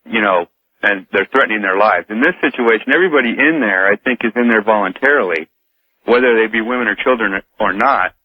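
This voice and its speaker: A man's voice: fairly low pitch, a little fast. A man calling in to a radio talk show, casually reasoning aloud with some hesitation. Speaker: radio call-in guest. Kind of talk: casual phone-in discussion. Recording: tinny phone line on radio.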